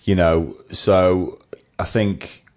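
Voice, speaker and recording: A middle-aged man with a low voice and a twangy blend of Scouse and Mancunian. He chats casually as an interview guest, rambling through anecdotes with a self-deprecating touch. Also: steady tone, drawn-out words, darkish tone. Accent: hybrid Scouse-Mancunian. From northwest England, Wirral and Manchester. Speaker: podcast guest, wedding photographer.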